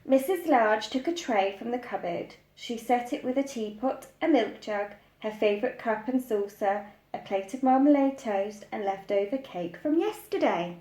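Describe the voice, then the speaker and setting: A woman's voice: on the high side, animated, moderate pace, hardly any pauses, dark tone. A woman reading a children's story expressively, speaking simply as a preschool storyteller, in an echoey room. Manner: addresses small children simply.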